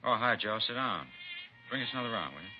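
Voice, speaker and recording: An actor playing a big, bossy, wary drug dealer in a 1950s radio crime drama, tough and clipped. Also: male voice, medium pitch, expressive, fast, close to an everyday voice. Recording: dull old radio recording, music behind.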